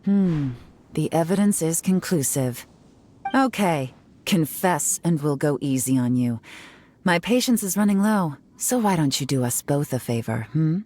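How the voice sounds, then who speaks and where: Smug low-voiced detective lady, calm and slow-burn menacing. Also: slight background noise. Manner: calm, measured, quietly menacing. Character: cool, confident female investigator, smug and teasing.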